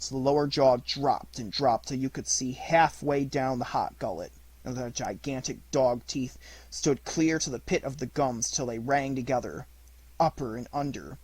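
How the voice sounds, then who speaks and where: A man's voice: mid-range voice, husky, no room sound, poor audio. A man reading a literary story aloud as an audiobook narrator, in a scripted narration style.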